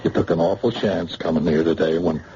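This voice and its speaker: An actor playing a stubborn husband gently arguing with his wife in a 1950s radio drama. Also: deep voice, steady tone, brisk, slightly rough, heavily acted. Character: stubborn male witness, tender with his wife. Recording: dull lo-fi old radio broadcast, off-mic.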